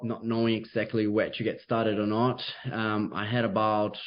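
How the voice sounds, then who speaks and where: Young man, Brazilian accent, Portuguese native speaker, upbeat and chatty, lots of um and uh, dull lo-fi video call sound. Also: lowish voice. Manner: upbeat, loose phrasing with frequent fillers. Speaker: real estate agent. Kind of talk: interview over video call.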